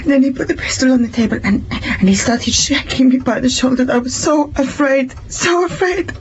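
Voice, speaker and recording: A woman's voice: high voice, normal speed, highs cut off, noisy background, close to an everyday voice. An actress playing a scheming woman who poses as a frightened victim in an audio drama, with a dramatic, pleading manner. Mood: tearful, frightened.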